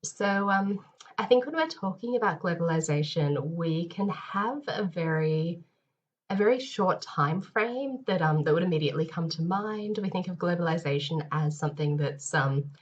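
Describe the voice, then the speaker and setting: A woman lecturer with a head cold, sounding nasal and a bit hoarse, giving a recorded lecture with many ums and restarts. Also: lowish voice, lively intonation, moderate pace, dull audio. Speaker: university lecturer.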